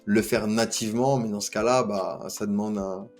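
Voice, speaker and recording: A man with a fairly low voice asks long questions, hesitating and restarting as he builds them. Faint music plays behind him. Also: fairly even intonation, moderate pace. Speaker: podcast host, interviewer. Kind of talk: interview questions.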